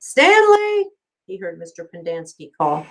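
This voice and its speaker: Female teacher reading a novel aloud, expressive narration, mid-range voice. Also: medium pitch, normal speed. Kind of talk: expressive audiobook-style story reading.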